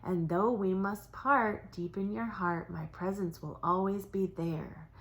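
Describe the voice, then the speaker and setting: A woman voicing a kind, wise old giant turtle in a storybook, speaking slow, solemn, lilting verse. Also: mid-range voice, steady tone, lightly acted. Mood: tender farewell.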